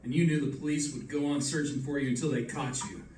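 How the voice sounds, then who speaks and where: A man's voice: medium pitch, fairly even intonation, fast talker, darkish tone, lightly acted. A man playing an unflappable, wry detective in a live radio play, assured and matter-of-fact. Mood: wry confidence. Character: unflappable wry male detective reconstructing a murder. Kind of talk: live old-time radio drama acting. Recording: echoey stage, distant mic, background noise.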